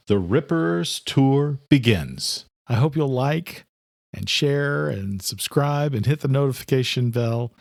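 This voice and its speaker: A male audiobook narrator delivers scripted horror thriller prose. His pitch sits in the middle range for a man. The recording is close and dry. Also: no room sound.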